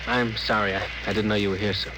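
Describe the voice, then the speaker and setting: An actor playing an earnest, respectful and slightly hesitant spaceship officer in a 1950s radio drama. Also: male voice, on the low side, flat delivery, fast, close to an everyday voice. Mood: uneasy, respectful. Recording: noisy vintage 1950s radio broadcast.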